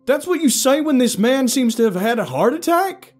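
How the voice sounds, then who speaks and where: A man's voice: mid-range voice, quick pace, dark tone, soft background music, pitched above the performer's own voice, lightly acted. A man playing a worried father in an audio story, earnest, urgent and insistent as he questions others. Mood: angry. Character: worried, insistent father searching for missing son.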